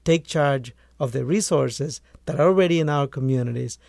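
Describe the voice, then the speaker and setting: Gravelly man from Guatemala. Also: mid-range voice, moderate pace, a little mellow, dry recording, studio quality. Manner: earnest, restarts phrases often. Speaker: poultry farmer and author. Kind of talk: conversational interview answers.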